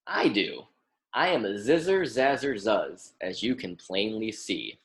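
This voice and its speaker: A man's voice: lowish voice, very animated, a little slow, fairly bright tone. A young American man reading a picture book aloud as a lesson for children, repeating and emphasising words in an instructive way. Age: young adult.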